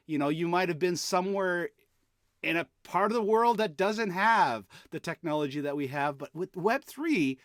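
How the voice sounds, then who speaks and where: A male podcast host with a higher-pitched voice that moves up and down a lot. He talks conversationally and playfully, recorded close and dry. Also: dry recording. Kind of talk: conversational explainer talk with co-host.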